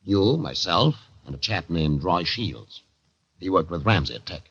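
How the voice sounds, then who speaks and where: Avuncular older professor, low husky voice, lilts around a lot, old radio play. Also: male voice, lively intonation, unhurried, fairly bright tone, no echo, exaggerated voice. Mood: genial, wry. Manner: avuncular, understated, wry. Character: genial older male professor and major, wry and unassuming. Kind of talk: 1940s radio drama acting. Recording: dry, dull vintage radio recording.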